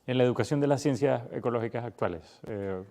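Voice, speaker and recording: A man hosts a podcast interview, asking long, carefully built questions with halting pauses. His voice has a dark, mellow tone at an ordinary pitch. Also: vocal fry. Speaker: podcast host.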